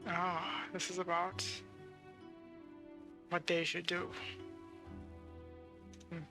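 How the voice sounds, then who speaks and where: A man's voice: high voice, monotone, drawn-out words, over music. A man streaming a game, giving casual, informal commentary as he thinks aloud.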